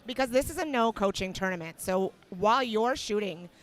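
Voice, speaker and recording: A woman with a slightly hoarse voice calls a match for a livestream. She explains strategy in a relaxed, easygoing way, pausing on fillers as she goes. Her voice sits at an ordinary middle pitch. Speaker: match commentator. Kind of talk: casual sports commentary.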